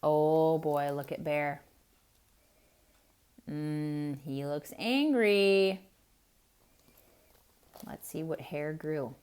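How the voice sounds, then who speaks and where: A woman reading a picture book aloud to children, pausing to point things out and ask them questions. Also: low voice, smooth. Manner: slow, expressive, asks children questions. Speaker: children's storyteller.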